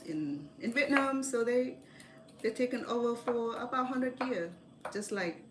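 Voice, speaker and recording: A woman from Cambodia chats casually as she cooks, rambling and correcting herself as she goes. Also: on the low side. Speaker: home cook hosting a livestream. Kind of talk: casual chat while cooking. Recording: echoey kitchen, clear background noise.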